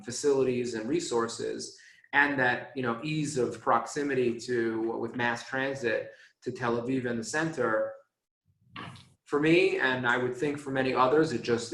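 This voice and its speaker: A man's voice: mid-range voice, a bit bright, echoey room. A man talking casually as a guest on a video call, with many fillers. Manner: conversational, filler-heavy. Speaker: panel guest.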